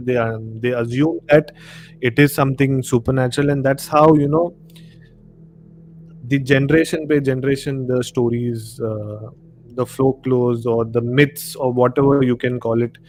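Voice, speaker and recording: A man's voice: mid-range voice, darkish tone. A man with an Indian accent hosting a casual live discussion, rambling a little and repeating words for emphasis. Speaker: live stream host. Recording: clear background noise, room ambience.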